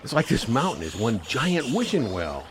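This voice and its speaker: A man's voice: medium pitch, huge pitch swings, normal speed, slight background noise. A man playing a skeptical, wisecracking hunter in a radio play, teasing and sociable. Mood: puzzled, challenging. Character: wisecracking, skeptical hunting buddy, adult man.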